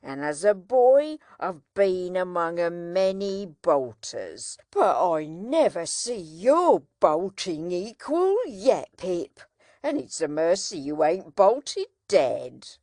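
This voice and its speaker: A woman reading aloud, voicing a kindly, simple-hearted blacksmith who speaks slowly and earnestly in homely country speech. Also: fairly low pitch, sing-song, mellow, no room sound, studio quality. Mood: earnest, worried.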